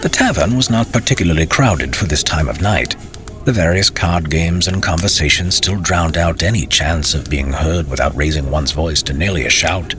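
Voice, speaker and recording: A man's voice: low voice, moderate pace, over music. A man narrating a fantasy tale as a storyteller, with dramatic, theatrical and courtly phrasing.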